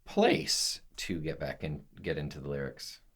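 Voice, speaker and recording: A man co-hosting a casual podcast, easygoing and quick to laugh, talking over song lyrics. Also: a bass voice, fairly bright tone, breathy edge. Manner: easygoing, laughs often, reads definitions aloud. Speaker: podcast co-host.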